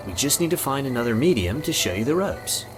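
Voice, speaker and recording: A man's voice: medium pitch, very animated, fairly quick, music in the background, close to an everyday voice. A man playing a goofy, easygoing young friend in a visual novel game, talking playfully and casually. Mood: teasing, casual. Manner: playful, casual bro-speak.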